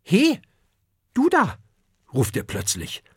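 A bold little boy dragon from a storybook, cheeky and quick to answer back, his voice pitched high and eager, as a man puts him on. It swings lively up and down, with a gravelly, husky grain and a dry, close sound. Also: dry recording, full character voice. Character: small excited boy dragon, cheeky and brave.

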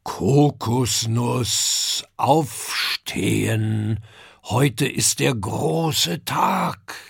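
A man voicing a calm, gentle dragon father who talks slowly and ponders out loud. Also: gravelly, husky, dry recording, clean studio sound, full character voice. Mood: cheerful. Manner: slow, deep, musing.

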